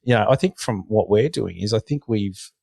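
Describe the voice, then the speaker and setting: A man's voice: on the low side, lively intonation, moderate pace, creaky, a little mellow, no room sound, clean studio sound. A middle-aged man with an Australian accent, talking earnestly in a casual podcast discussion and often stumbling over the first words of a sentence. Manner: earnest, repeats words when starting sentences. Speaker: podcast guest.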